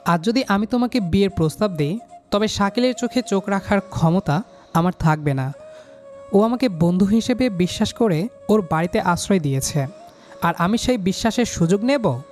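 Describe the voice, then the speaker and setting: A man's voice: sits high, expressive, moderate pace, soft background music. A man voicing a stern, dutiful young man in an audio love story. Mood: firm refusal.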